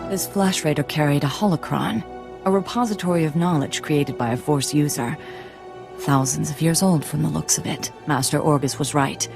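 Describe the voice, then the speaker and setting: Creaky-voiced, grave Jedi master. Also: female voice, low-pitched, over music. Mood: grave, composed. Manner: measured, formal. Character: composed, grave Jedi master advising young apprentices. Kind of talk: video game voice acting.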